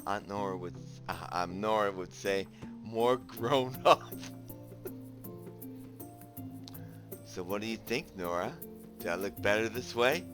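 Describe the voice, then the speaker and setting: A man's voice: on the low side, natural voice. A man playing an easygoing, bashful young protagonist in a visual novel game, talking casually and hesitantly. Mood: friendly. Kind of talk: visual novel game voice acting with inner narration.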